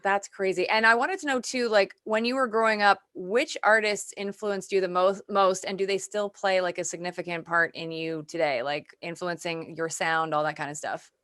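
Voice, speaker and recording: A woman's voice: on the low side, steady tone, moderate pace, few pauses, a bit bright, no room sound, clean studio sound. A woman hosting a casual music interview in an enthusiastic, chatty way. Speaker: radio show host, interviewer.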